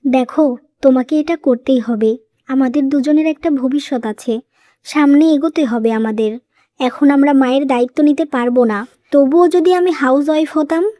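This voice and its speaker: Woman acting high, mellow young daughter-in-law. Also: normal speed, no room sound, clean studio sound, close to an everyday voice. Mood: insistent. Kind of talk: cartoon moral story voice acting.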